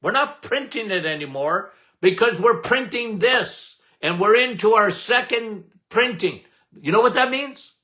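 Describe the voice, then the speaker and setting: An older man from Canada hosting a podcast, loud and emphatic, with a habit of repeating "okay?". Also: sits high, expressive, unhurried. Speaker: podcast host. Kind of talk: casual live talk.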